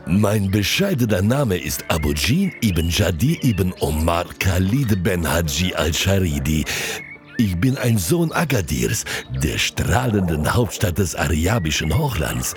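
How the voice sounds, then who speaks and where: A courteous southern fortune-teller and pipe seller in an audio drama. His very low voice swings up and down as he speaks slowly and ceremoniously, with ornate turns of phrase. Music plays clearly behind him. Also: sing-song, heavily acted. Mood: proud, inviting.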